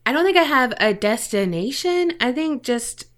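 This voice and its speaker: A woman's voice: sits high, lively intonation, moderate pace, a bit bright. A woman co-hosting a podcast in casual, profane banter, blunt and self-deprecating. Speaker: podcast co-host.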